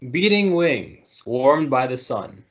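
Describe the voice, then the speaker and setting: Man, storybook reader for kids. Medium pitch, lively intonation, muffled video-call recording. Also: takes it slowly. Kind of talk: children's picture book read-aloud.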